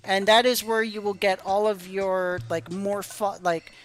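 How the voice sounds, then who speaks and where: Slow, hoarse woman streamer. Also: medium pitch, fairly even intonation, soft background music. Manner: rambling, filler-heavy, apologetic. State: hoarse from hours of talking. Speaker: game tutorial streamer. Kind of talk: casual live-stream commentary.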